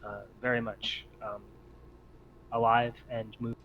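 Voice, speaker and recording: A man acting as game master, improvising tabletop game narration in halting, piecemeal descriptions. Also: mid-range voice, flat delivery. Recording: dull lo-fi audio, noisy background.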